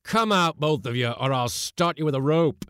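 A male narrator acts out a blustering schooner skipper from a sea story. He is gruff and commanding, with big swoops in pitch and a close, dry sound. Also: huge pitch swings, a little slow, a little mellow, no room sound, full character voice. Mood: irritated, shouting. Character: stern, blustering male schooner skipper, irritable but scheming.

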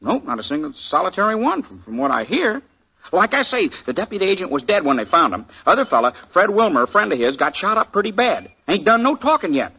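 Blacksmith, folksy drawl, husky lively voice, old radio play. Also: male voice, high voice, quick pace, full character voice. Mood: curious. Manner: folksy drawl, chuckling, nosy. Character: jovial talkative male frontier blacksmith. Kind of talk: 1950s radio drama acting. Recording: dull old radio broadcast.

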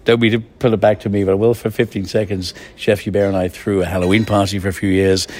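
A man's voice: on the low side, level tone, quick pace, a little mellow. A man hosting a radio food interview, effusive, chatty and theatrical. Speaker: radio food show host.

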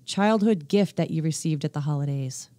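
A woman co-hosting a podcast in casual, chatty talk, quick to exclaim in reaction. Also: low voice, mellow, no room sound. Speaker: podcast co-host.

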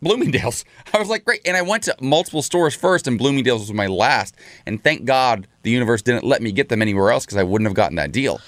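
A man co-hosting a morning show, chatty and excitable, a bit nasal from a cold. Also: mid-range voice, fast, dry recording, studio quality. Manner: chatty, excitable, restarts words. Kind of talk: casual chat.

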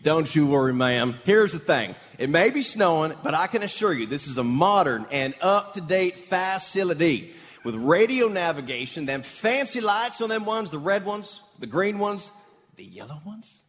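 A folksy small-town airport superintendent in a comedic radio play. He is eager and bumbling, high-pitched and swooping, with stammered reassurances that ring out in a reverberant theatre hall. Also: normal speed. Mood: flustered, reassuring. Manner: rustic, stammering, reassuring, excitable.

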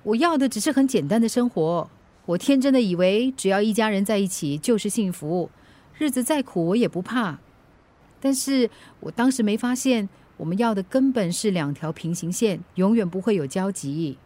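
A woman's voice: medium pitch, animated, normal speed, warm tone, lightly acted. An actress playing an independent middle-aged ex-wife and mother in a radio drama, frank and chiding, firm but caring. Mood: hurt, firm. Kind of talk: Chinese radio drama acting.

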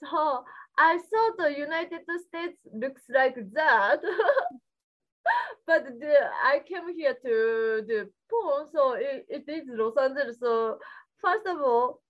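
A woman from Japan with a Japanese accent, speaking halting, simple English as an interview guest in casual talk, giggly in manner, on dull lo-fi audio. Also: high-pitched.

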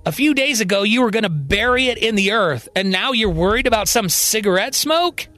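A man voicing a defiant grown man trapped in a young girl's body in an audio drama, forceful and demanding. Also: high-pitched, brisk, soft background music, voice pushed up. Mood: defiant, indignant.

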